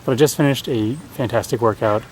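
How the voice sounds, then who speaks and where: A man's voice: medium pitch, quick pace, slight background noise, poor audio. A young man talking casually to the camera in a video diary. He is chatty and pokes fun at himself. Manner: chatty, self-deprecating, laughs at himself. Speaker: vlogger.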